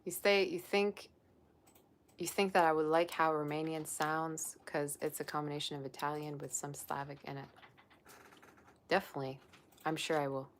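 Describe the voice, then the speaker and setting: A woman's voice: sits low, level tone, moderate pace, long pauses. A young woman, a psychic tarot reader, chatting casually on a livestream and reading viewer comments aloud. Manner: chatty and rambling, with many "like" fillers.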